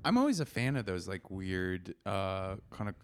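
A man's voice: low-pitched, very animated, normal speed. A man in casual conversation, rambling along with lots of "like" and restarts. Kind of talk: casual chat.

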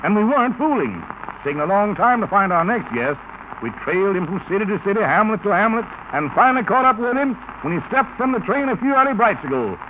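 A man's voice: high-pitched, very animated, quick pace. A man hosting a 1940s radio show, announcing in showy, hip jive-talk patter. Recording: noisy, tinny 1940s radio broadcast.

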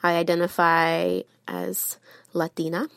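A woman's voice: on the low side, little pitch movement, unhurried, vocal fry, a bit bright, no echo, clean studio sound. A woman in her thirties answering interview questions openly and thoughtfully. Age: 30s. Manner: open, thoughtful, candid. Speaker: interview guest.

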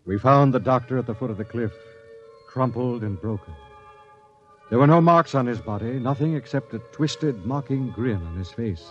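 An actor playing a stern expedition captain who narrates an old-time radio drama in a measured, authoritative way. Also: male voice, mid-range voice, music in the background, natural voice. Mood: grim storytelling. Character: stern, commanding expedition captain recounting a grim tale. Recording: dull vintage radio recording.

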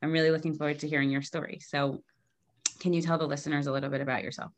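A woman with a notably low voice hosts a podcast interview. She is enthusiastic and encouraging, warmly affirming what her guest says. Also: level tone, very fast. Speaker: podcast host, registered dietitian.

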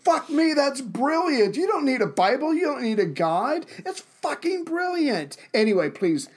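A man co-hosting a podcast in casual chat, chiming in eagerly with quick interjections and frequent swearing. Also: very high-pitched, very animated. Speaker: podcast co-host.

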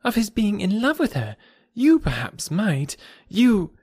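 A man voicing an eager, naive, excitable young woman with gushing, emphatic delivery in an audiobook of a classic novel. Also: high voice, moderate pace, no echo, clean studio sound, pitched above the performer's own voice. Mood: bashful wonder.

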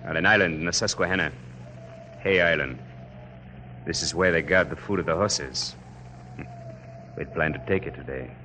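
An earnest formal french general on old radio, really deep but sharp voice. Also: male voice, normal speed, long pauses, a little hiss or hum. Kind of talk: 1940s radio drama acting.

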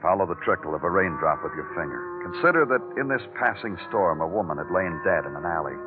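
World-weary male police detective, dramatic, animated pitch, radio noir, music behind, narrow old sound. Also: brisk. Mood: brooding. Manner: brooding, dramatic, clipped in dialogue. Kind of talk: 1950s radio noir acting and narration.

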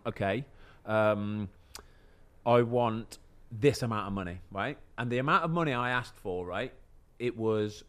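A man, telling a story in casual podcast chat, often checking in with "do you know what I mean". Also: medium pitch. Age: 30s. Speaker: podcast host and footballer.

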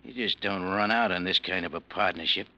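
A man's voice: low-pitched, brisk, sharp tone, highs cut off, exaggerated voice. An actor playing a smug, menacing gangster in an old radio crime drama, with slangy tough-guy speech. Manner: slangy tough-guy drawl, veiled threats. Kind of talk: 1940s-50s radio crime drama acting.

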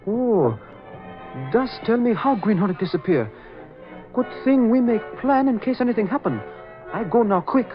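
A high pitched earnest sidekick, broken english, old radio serial with music behind. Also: male voice, very animated, normal speed, muffled recording. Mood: anxious, urgent. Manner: clipped broken English, dropping small words. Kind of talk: 1940s radio acting.

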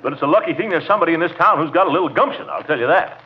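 An actor playing a pompous, excitable middle-aged small-town commissioner with an American accent in a 1940s radio comedy. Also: male voice, a little high, very fast, natural voice. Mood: indignant. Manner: pompous, excitable, self-important. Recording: muffled old radio recording.

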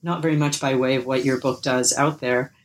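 A woman hosting a book interview podcast, reading a scripted introduction, then asking measured questions with some hesitation. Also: extremely low pitch, steady tone, a little hiss or hum. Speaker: podcast host.

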